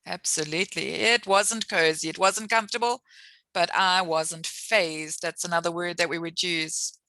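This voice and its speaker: A woman's voice: on the low side, steady tone, normal speed, pushed and bright, no room sound. A woman teaching an English lesson over a video call, patiently explaining words with examples and encouraging her students.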